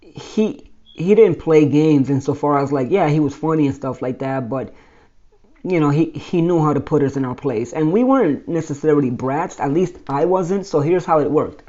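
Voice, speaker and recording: Rambling man in his forties, mellow voice. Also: fairly high pitch, moderate pace, lo-fi sound. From Brooklyn, New York. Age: 40s. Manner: rambling, many fillers and restarts. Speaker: vlogger telling his life story. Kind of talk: casual personal storytelling.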